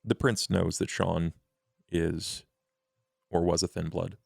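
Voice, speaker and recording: A man playing a courtly, world-weary vampire in a tabletop roleplay, speaking gravely in formal, deliberate, ornate phrases. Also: sits low, fairly even intonation, dry recording, clean studio sound, full character voice. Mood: measured, scheming. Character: measured, scheming male vampire named Duke, courtly and world-weary.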